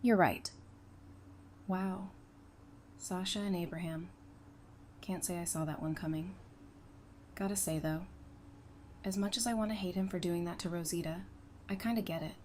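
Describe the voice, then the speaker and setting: A woman's voice: fairly low pitch, normal speed, long pauses, darkish tone, slight background noise, close to an everyday voice. An actress playing a candid, caring, perceptive woman who talks casually and frankly in a fan audio drama. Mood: tender, confessional.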